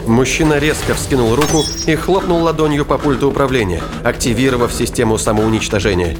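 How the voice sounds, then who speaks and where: A man's voice: mid-range voice, fairly quick, over music. A man giving a scripted, dramatic narration of a science fiction story. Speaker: audiobook narrator.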